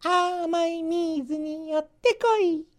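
Folktale granny, male performer: very high thin falsetto, kindly, polite, singsong delivery. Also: very slow, heavily acted. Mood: singsong coaxing. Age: older. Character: kindly, delighted old woman of a Japanese folktale. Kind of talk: storybook character voice.

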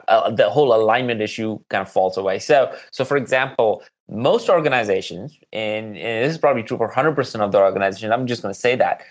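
A man speaking conversationally as a podcast guest and marketing expert, explaining with examples and repeating words for stress. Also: medium pitch.

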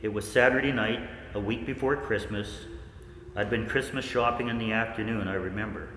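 Here is a man playing a researcher who narrates a 1940s radio play like a folksy storyteller. His voice sits fairly low and his delivery is measured. It rings in an echoey hall with slight noise behind. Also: fairly bright tone. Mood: wondering.

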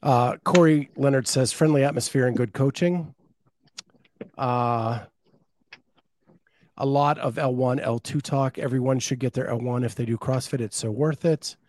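A man's voice: mid-range voice, unhurried, darkish tone, no room sound. Dry-voiced male podcast host. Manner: reads listener comments aloud and expands on them. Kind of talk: casual chat.

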